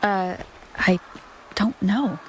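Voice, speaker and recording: A woman's voice: mid-range voice, drawn-out words, a little hiss or hum, low-quality recording. A woman voicing a thoughtful, caring young heroine in a video game, earnest and reserved. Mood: pensive. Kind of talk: scripted video game voice acting.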